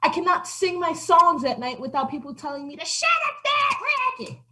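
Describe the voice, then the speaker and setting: A woman voicing an old female alley cat who complains with whiny, drawn-out sighs. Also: mid-range voice, lively intonation, close to an everyday voice. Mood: self-pitying. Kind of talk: children's story acting.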